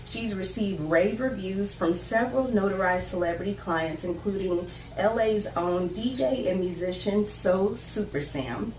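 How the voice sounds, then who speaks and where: A woman's voice: medium pitch, measured pace, hardly any pauses, a little mellow. A woman hosting an interview, reading a guest introduction and then asking questions in a chatty, friendly way. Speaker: host, realtor. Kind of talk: casual interview. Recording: roomy echo, distant mic, music behind.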